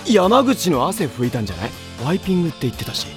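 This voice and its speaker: A man playing an observant, peacemaking high school boy in an anime audio drama. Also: very animated, quick pace. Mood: mildly startled. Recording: music behind the voice.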